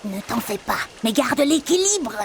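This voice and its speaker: A woman voicing a gentle female animal villager in a video game dub, speaking earnestly. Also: high voice, very animated, measured pace, exaggerated voice. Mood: earnest, reassuring. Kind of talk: dubbed video game acting. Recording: clear rain-like noise behind the voice.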